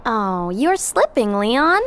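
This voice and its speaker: A woman's voice: fairly high pitch, very animated, takes it slowly, a bit bright, smooth, heavily acted. An actress playing a cocky, playful young female ninja in a game cutscene with a chirpy, teasing manner.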